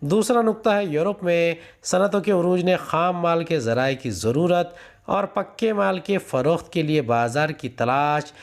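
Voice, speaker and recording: A man reading out a lesson methodically as a teacher, announcing each numbered point. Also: on the high side. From India. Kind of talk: scripted lesson, reading questions and answers aloud.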